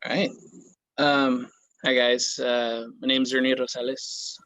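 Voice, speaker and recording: A man with an American accent talking casually and haltingly as a panelist, on dull lo-fi call audio. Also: mid-range voice, little pitch movement, a bit bright, dry recording. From California, United States. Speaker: roundtable panelist, theater performer. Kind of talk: casual roundtable talk.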